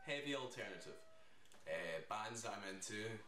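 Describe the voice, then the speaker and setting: A young Scottish man in a band, chatting casually in a video Q&A, laid-back and trailing off softly. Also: fairly low pitch, lively intonation, normal speed. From Scotland. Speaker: band singer. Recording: echoey room, mic far off, faint noise.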